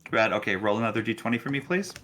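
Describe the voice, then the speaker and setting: A man's voice: lowish voice, rapid-fire, pushed and bright, a little hiss or hum. A man acting as game master, casually narrating a tabletop role-playing session and explaining its rules.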